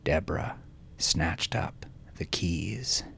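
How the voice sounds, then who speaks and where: Low-voiced man narrating dramatic fiction, unhurried. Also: vocal fry, a bit bright, whispered. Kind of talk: dramatic scripted audiobook narration.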